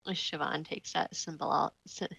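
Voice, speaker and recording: A woman's voice: sits low, steady tone, a little slow, creaky, sharp tone, a little hiss or hum, low-quality recording. A woman talking casually as a player in a tabletop role-playing game. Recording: music under the voice.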